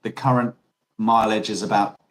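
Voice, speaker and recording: A man's voice: on the low side, level tone, normal speed, fairly bright tone. Rambling Australian man chatting. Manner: rambling, self-correcting storyteller. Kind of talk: casual chat.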